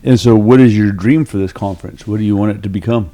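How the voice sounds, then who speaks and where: A man's voice: on the low side, quick pace, dark tone. A man speaking as a podcast host, asking questions in a casual interview.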